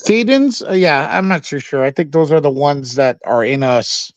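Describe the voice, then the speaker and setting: A man's voice: fairly high pitch, animated, moderate pace, no echo. A man hosting a live talk stream who chats casually and rambles, with frequent fillers and restarts. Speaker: livestream host. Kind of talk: casual chat. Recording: dull lo-fi audio.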